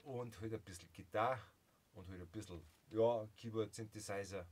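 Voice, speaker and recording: A man's voice: on the low side, fairly even intonation, moderate pace, a little mellow, a little hiss or hum. A man around fifty with a Bavarian accent, a musician chatting jovially in an interview in a small home studio, full of fillers and restarts. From Bavaria, Germany. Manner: jovial, laughs often, many fillers and restarts. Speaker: musician guest. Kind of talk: casual interview chat.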